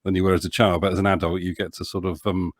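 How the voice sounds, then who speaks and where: A man chatting casually as an interview guest, talking in a halting, rambling way and often interrupting himself. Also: sits low, flat delivery. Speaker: audio drama producer, interview guest.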